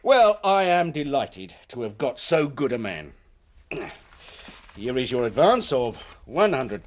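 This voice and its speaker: A man acting in a period radio drama, playing a hearty, persuasive company recruiter and smooth swindler, with a British accent and grandiloquent manner. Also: a little high. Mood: jovial, hearty. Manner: effusive, theatrical, grandiloquent.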